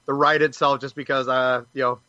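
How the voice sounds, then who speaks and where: A man's voice: medium pitch, flat delivery, fairly quick, sharp tone, dry recording. A man chatting casually as a panelist on a podcast. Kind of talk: casual chat. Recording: dull, lo-fi audio.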